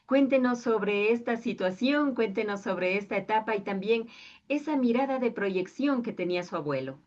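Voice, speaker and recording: A woman's voice: fairly high pitch, expressive, moderate pace, a little mellow, muffled recording. A woman with an Ecuadorian accent speaking conversationally as an interviewer.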